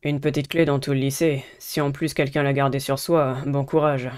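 A woman's voice: very deep voice, level tone, moderate pace, darkish tone, clean tone, pitched below the performer's own voice. A woman voicing a stressed, earnest teenage boy, a student delegate in a visual novel game.

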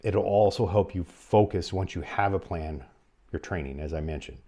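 A man with a North American accent speaks in a low, mellow voice. He gives explanatory advice to the camera, phrasing it in a measured, halting way. He sounds like a presenter in his forties. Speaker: presenter of an emergency radio advice channel. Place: small furnished room at home, indoors.

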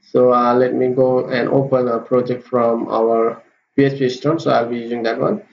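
A man walks through a coding tutorial in a gravelly, creaky voice, stopping often with fillers and restarting his sentences. He sounds roomy and dull, with a faint hiss behind him. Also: medium pitch, level tone. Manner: halting, many fillers and restarts. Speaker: programming tutor. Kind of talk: screen-recorded coding tutorial.

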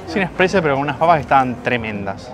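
A man's voice: medium pitch, animated, quick pace, crowd noise. A man in his thirties with an Argentine Spanish accent, chatting playfully as a travel food vlogger. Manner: chatty, playful banter with co-host. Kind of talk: casual travel food vlog. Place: outdoors on city streets and cafés. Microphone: handheld selfie camera. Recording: noisy street recording.

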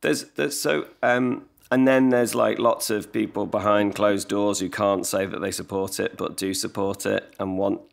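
A man's voice: lowish voice, steady tone, unhurried, gravelly, hardly any pauses. A man in his forties, a songwriter guest on a video call, talking at length with many restarts and self-deprecating asides, at a desk mic in his living room. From England. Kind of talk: casual podcast conversation. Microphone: desk mic on stand, video call.

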